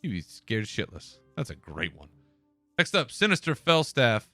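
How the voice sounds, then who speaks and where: A man's voice: mid-range voice, wildly swooping pitch, normal speed, pushed and bright, no echo, faint music behind. A man hosting a podcast alone, talking in a laid-back, casual way and swearing now and then. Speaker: podcast host. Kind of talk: solo casual commentary.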